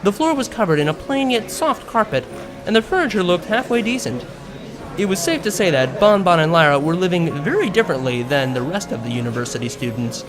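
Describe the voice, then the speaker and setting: Male narrator, fairly high voice, very lively intonation, expressive storytelling, music behind. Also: a little fast. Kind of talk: scripted audiobook-style story narration.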